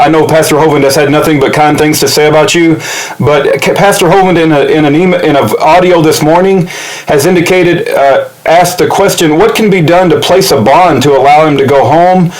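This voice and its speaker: A man speaking informally in an earnest, polite way, halting as he searches for words, like someone leaving a message or update for a cause. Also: a little high, rough recording. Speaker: activist supporter leaving a voicemail and recording it for upload. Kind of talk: informal spoken appeal, phone message and update.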